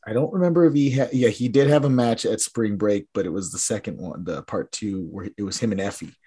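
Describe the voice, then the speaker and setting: A man co-hosting a podcast in casual chat, his voice raspy from a weekend of yelling, rambling and trailing off into mumbles. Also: fairly low pitch, brisk. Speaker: podcast co-host.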